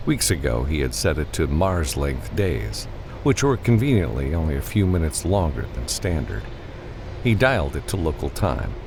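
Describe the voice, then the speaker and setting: A man's voice: very deep voice, big pitch swings, normal speed, gravelly, noisy background. A man reading scripted fiction as an audiobook narrator.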